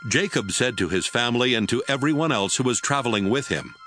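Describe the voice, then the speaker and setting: A man's voice: mid-range voice, lively intonation, fairly quick, fairly bright tone. A man giving scripted narration of scripture as the narrator of an audio Bible. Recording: music bed under the narration.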